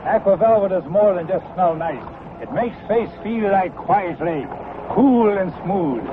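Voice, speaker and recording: An actor playing a solemn western sidekick who speaks stilted broken English in a radio commercial. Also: male voice, high-pitched, animated. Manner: stilted, deliberate phrasing. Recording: tinny, noisy old radio broadcast.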